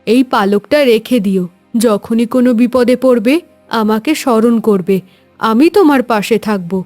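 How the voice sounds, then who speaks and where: Woman as a high-voiced, gentle guardian owl. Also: moderate pace, soft background music, natural voice. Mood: protective. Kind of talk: Bengali animated story voice acting.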